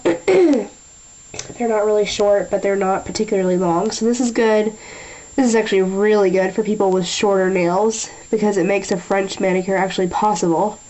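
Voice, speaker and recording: A young woman talking through a nail tutorial in a chatty way, a little nasal from a sinus infection, often clearing her throat. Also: mid-range voice, dark tone, poor audio. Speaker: beauty vlogger. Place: small room at a table.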